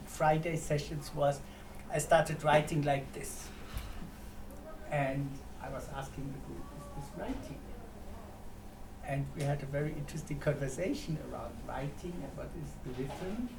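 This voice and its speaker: Creaky-voiced man facilitating in an echoey room. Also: on the high side. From Germany. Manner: reflective, halting, poses questions to the group. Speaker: writing workshop facilitator. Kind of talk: informal spoken introduction to a workshop. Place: echoey room at a live workshop. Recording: echoey room, distant mic, clear background noise.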